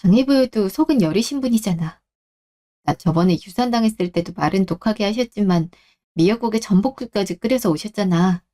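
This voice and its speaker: A woman playing a gentle, apologetic young wife who speaks politely and softly in a radio drama. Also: medium pitch, fairly quick, close to an everyday voice. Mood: resigned, understanding. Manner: soft-spoken, conciliatory, polite. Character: gentle, apologetic young married woman, a patient daughter-in-law. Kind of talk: Korean radio drama acting.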